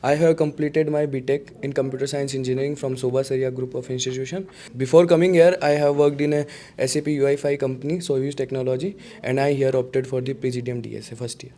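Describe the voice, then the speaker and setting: A man's voice: mid-range voice, little pitch movement, fast talker, few pauses, warm tone. A young man from India in his twenties, briefly introducing himself as a student. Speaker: student guest.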